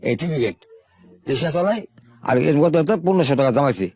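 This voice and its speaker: An older man from Bangladesh, a guest telling his life story in a rambling, halting, repetitive way. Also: a little high, lively intonation, darkish tone. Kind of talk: informal spoken life story.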